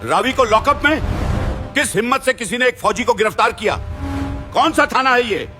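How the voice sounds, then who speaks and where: A man acts a stern army officer in a cartoon moral story, commanding and indignant on behalf of his men. The voice is very high for a man, over background music. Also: expressive, normal speed. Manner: authoritative, indignant. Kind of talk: scripted animated moral story.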